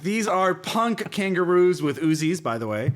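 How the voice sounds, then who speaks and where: Male host, very lively intonation, wry and jokey, casual geeky discussion. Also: high voice, normal speed. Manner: wry, jokey, profane asides. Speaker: podcast host. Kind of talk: casual chat reviewing old gaming magazines.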